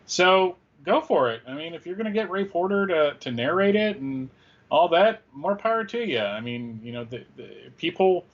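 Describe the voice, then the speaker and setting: A man's voice: a little high, sing-song, moderate pace. A man giving casual opinions as a talk show co-host. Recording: slightly dull lo-fi audio.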